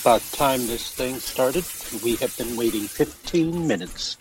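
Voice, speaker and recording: An actor playing an impatient husband in a radio drama, curt and quick to snap. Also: male voice, mid-range voice, slight background noise, natural voice, rough recording. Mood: impatient, irritable. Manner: curt, peevish.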